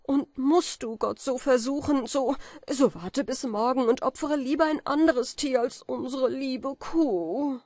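A woman voicing a desperate young Scottish peasant man who pleads in anguish, slow and drawn-out, for a storybook reading. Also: very high voice, a little mellow, no room sound, voice pushed up. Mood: pleading.

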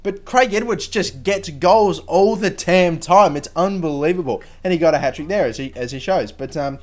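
A young man with a sore, fading voice, casually commentating his own football video game career, rambling and then bursting into excitement at goals. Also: fairly high pitch, moderate pace. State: sore throat, losing his voice. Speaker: gaming channel host.

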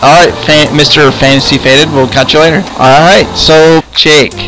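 A man improvising in-character chat in a video game roleplay, halting and often repeating words. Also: a little high, fairly even intonation, poor audio. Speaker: host and player of an online roleplay series.

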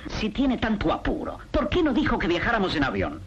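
A man's voice: sits high, wildly swooping pitch, fairly quick, highs cut off. An actor playing a grumbling henchman husband with a Rioplatense accent, in a 1960s film. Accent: Rioplatense Spanish.

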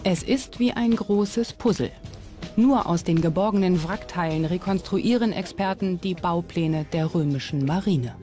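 A woman's voice: on the low side, unhurried. Female documentary narrator over music. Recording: music under the voice. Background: background music.

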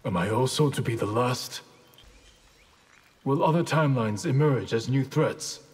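An actor playing a stern, honorable ninja grandmaster in a video game, speaking in a terse, resolute, formal way. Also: male voice, medium pitch, unhurried, slightly rough. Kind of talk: video game character acting.

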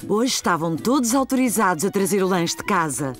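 A woman narrates a children's cartoon story in European Portuguese. Her scripted reading is medium in pitch, with faint music behind. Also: animated, brisk.

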